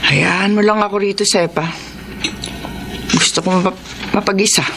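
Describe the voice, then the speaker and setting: A woman playing a crabby, lonely elderly retired teacher with a Filipino accent in a radio drama, grumpy but secretly soft. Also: mid-range voice, fairly even intonation, normal speed, background noise, lightly acted. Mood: irritable. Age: older. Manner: grumpy, scolding.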